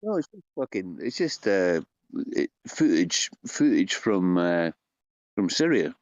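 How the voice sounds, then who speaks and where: A man chatting on a live stream, halting and hesitant, with frequent fillers and swearing. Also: sits low, sing-song, dry recording. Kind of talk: casual chat. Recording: dull lo-fi dry mic.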